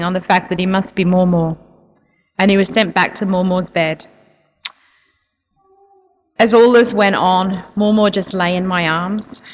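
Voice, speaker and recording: A woman speaks to a church audience, earnest and soft-spoken, with the occasional um. Her voice sits a little low for a woman. It carries the long reverb of a big hall. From New Zealand. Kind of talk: church talk telling a personal story.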